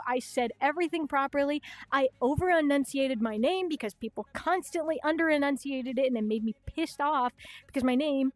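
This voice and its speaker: A young woman with a Chicago-style Midwestern accent, raised in Minnesota and South Dakota, chatting quickly and swearing freely as a livestream host late at night from her bedroom. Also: high voice, no echo. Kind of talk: casual late-night stream chat. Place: hot bedroom at home.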